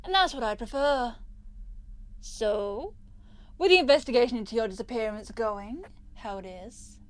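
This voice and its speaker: A woman's voice: fairly high pitch, moderate pace, close to an everyday voice. A woman playing a possessive, smug rich young captor in an ASMR roleplay, teasing and condescending with a sweet menace. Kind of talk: ASMR roleplay audio drama.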